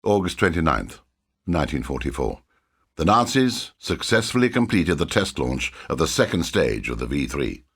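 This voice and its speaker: A man's voice: very deep voice, big pitch swings, moderate pace, a bit bright, breathy edge. A man narrating a war game cutscene with an emphatic, formal, scripted delivery.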